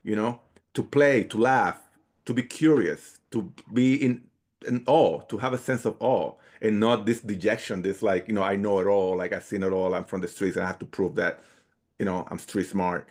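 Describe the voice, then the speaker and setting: Low-pitched reflective man in an interview. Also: normal speed, a little mellow. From Dominican Republic. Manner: reflective, frequently checks in with "right?" and "you know". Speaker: founder of an outdoor youth program, interview guest. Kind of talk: conversational interview answers.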